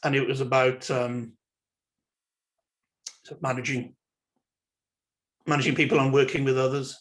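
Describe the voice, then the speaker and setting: A man with a Welsh accent gives an online lecture, thinking aloud as he goes. His voice sits at a middle pitch, and his speech is unhurried and dotted with uhs and ums. He sounds like he is recorded on a computer mic for a webinar. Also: level tone. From Wales. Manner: measured, thoughtful, many ums and uhs. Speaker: university lecturer.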